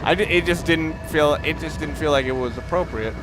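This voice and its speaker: Higher-voiced man rambling over game music. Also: a little fast, rough recording. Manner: rambling, self-deprecating. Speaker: gaming video creator. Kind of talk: casual let's play commentary. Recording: loud game music behind. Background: video game music and sounds.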